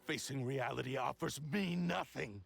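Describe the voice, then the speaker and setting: A man's voice: medium pitch, moderate pace, creaky, fairly bright tone. A man voicing a proud, bitter, obsessive cursed sword spirit in a video game, speaking in formal, archaic, menacing words. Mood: cold, menacing. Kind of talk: dramatic video game voice acting. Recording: music behind the voice.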